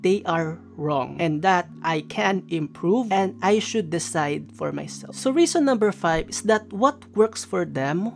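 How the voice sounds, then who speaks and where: A man's voice: sits high, animated, measured pace, gravelly. A young man giving an earnest, emphatic motivational talk to camera, repeating his key points. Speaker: YouTuber and former lecturer.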